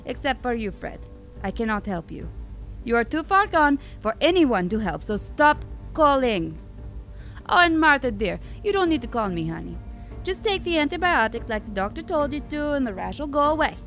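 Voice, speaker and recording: An actress playing a manipulative female phone psychic in a radio drama, with a sugary, conspiratorial sales-pitch manner. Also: sing-song, moderate pace, fairly bright tone, faint music behind, full character voice.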